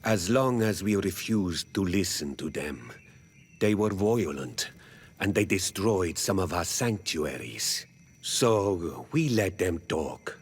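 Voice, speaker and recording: Game role: stoic male native elder statesman, low rough voice, unhurried, grave, weary. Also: animated, exaggerated voice. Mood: resigned, grave. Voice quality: husky. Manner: grave, deliberate, halting. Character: weary, stoic native male village chief, resigned and wary. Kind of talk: video game dialogue acting.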